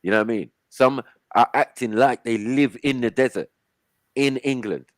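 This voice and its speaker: A man leading a lively online panel, pressing his points and cutting in whenever someone else starts. His voice sits in a middle range and sounds close and dry, with no room around it. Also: medium pitch, normal speed, no room sound. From Africa. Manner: talks over others, insistent, says "hold on" often. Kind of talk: heated casual panel discussion. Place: online call.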